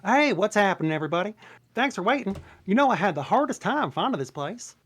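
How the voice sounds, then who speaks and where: A performer voicing a brash, chatty, excitable male donkey in a comedic table read, boisterous and sassy. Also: medium pitch, quick pace. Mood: indignant, upbeat. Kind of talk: comedic table read of animated film script.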